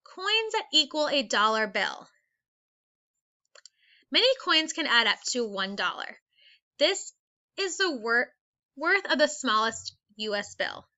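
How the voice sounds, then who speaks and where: A woman teacher with a fairly high, very animated voice reads aloud for young children. She is instructional and takes things step by step. The recording is a slightly dull screencast voice-over. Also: slow, pauses often. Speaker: elementary school teacher.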